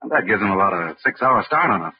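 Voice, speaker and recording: An actor playing a plain-spoken FBI agent, the partner on a manhunt, in 1940s radio style. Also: male voice, lowish voice, animated, quick pace, breathy edge, full character voice. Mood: businesslike. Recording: dull, very noisy old radio recording.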